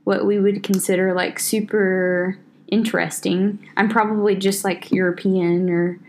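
A young woman rambles through a casual podcast chat, leaning on "like" as she thinks out loud. Her voice sits at a middle pitch, with faint background noise under it. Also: level tone, a little slow. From Southern United States. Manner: rambling, full of "like" fillers. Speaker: podcast co-host.

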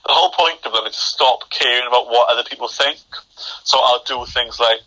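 A man's voice: medium pitch, a little fast, sharp tone, poor audio. A young man who stammers, with repeated word starts and blocks, speaking as a guest in a podcast interview over a remote call. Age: young adult. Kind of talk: interview conversation.

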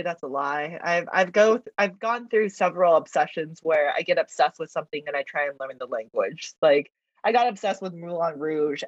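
A woman whose first language is Mandarin, chatting as a podcast guest, talkative and quick to laugh, with lots of fillers. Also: lowish voice, dry recording. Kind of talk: casual interview chat. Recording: dull lo-fi dry recording.

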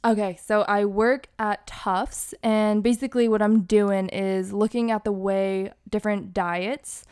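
A woman's voice: medium pitch, fairly even intonation, a little slow, darkish tone, smooth, dry recording, studio quality. A woman in her twenties from Massachusetts and Hawaii, co-hosting a podcast in casual, giggly chat full of fillers. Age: 20s. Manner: chatty, giggly, self-deprecating, with many fillers. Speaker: podcast co-host.

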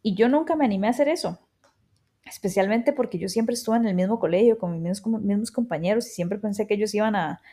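A woman's voice: mid-range voice, fast talker, dark tone. A woman in her thirties with a Costa Rican accent, hosting a podcast in a reflective, chatty personal monologue. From Costa Rica. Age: 30s. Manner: reflective and chatty, with frequent quoted asides. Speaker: podcast host.

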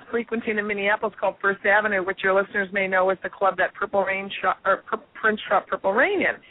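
A hoarse middle-aged woman, a comedian and guest, telling a self-deprecating story in an animated way on a radio interview. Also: medium pitch, no echo. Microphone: telephone. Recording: tinny phone line.

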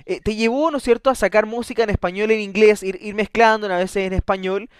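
A chatty male radio host with a high, smooth, clear voice. He talks easily, restarts his sentences and keeps checking with his guest. The sound is dry and close, with no room around it. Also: steady tone, a little fast, no echo. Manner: chatty, stumbles and restarts, tag questions. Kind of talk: casual interview.

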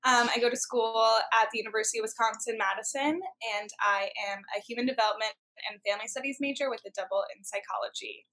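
A woman's voice: on the high side, steady tone, fast talker, hardly any pauses, sharp tone, rough recording. A woman in her twenties, a student guest on a podcast, chatty and giggly. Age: 20s. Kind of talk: casual chat.

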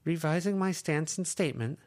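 A man voicing a quiet, flippant teenage girl who speaks flatly in an audiobook. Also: a little high, fairly quick, pitched above the performer's own voice, lightly acted. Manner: quiet, flat, mildly flippant.

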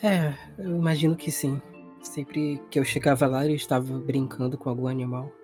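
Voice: medium pitch, fairly even intonation, moderate pace, a little mellow, soft background music, close to an everyday voice. A game master voicing a hesitant, cooperative mother in a tabletop mystery game. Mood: plain, reflective.

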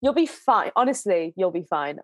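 A woman with a stuffy, hay-fever voice chats on a podcast, her pitch leaping around in animated swings. She often trails off low and quiet at the end of a phrase. The sound is close and dry, with no room around her. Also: normal speed, no room sound. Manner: animated, laughs often, drops low and soft at ends of phrases. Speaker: podcast co-host. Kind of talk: casual chat, reading listener letters.

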